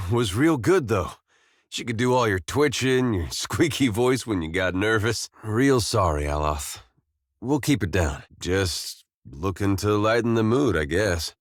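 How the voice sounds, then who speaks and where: An actor playing an easygoing, wry, war-weary farmer turned adventurer in a video game, talking in a folksy, understated way with short, plain phrases. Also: male voice, fairly low pitch, dry recording, studio quality. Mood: teasing, amused, then friendly, wry. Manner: folksy, laid-back, understated, drawling short clipped phrases. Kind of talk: video game companion dialogue.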